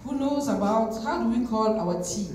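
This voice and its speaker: This is a Cameroonian woman with a fairly high, mellow voice, leading a film club discussion. She engages her young listeners like a teacher, putting questions to them. The sound is very echoey, as if caught away from the microphone in a big hall. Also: animated, measured pace, far from the mic. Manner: engaging, asks questions, switches between French and English. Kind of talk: addressing a young audience, didactic. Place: screening hall with audience.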